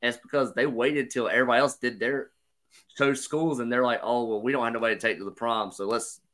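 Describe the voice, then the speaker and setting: A man co-hosting a sports podcast, slightly hoarse, talking through analysis in a measured way. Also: mid-range voice, fairly even intonation, fairly bright tone. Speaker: podcast co-host. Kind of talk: casual sports chat.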